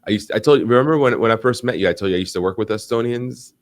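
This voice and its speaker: A man's voice: lowish voice, rapid-fire. A young American man hosting a live online interview, talking casually with lots of fillers and restarts. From United States. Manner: animated, rambling, frequent fillers and restarts. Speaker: livestream host.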